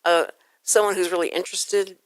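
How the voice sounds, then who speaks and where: A woman's voice: on the low side, steady tone, brisk, dry recording. A woman hosting a casual radio interview in a studio, chatty and playfully self-deprecating, rambling through her questions close to the mic. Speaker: radio show host.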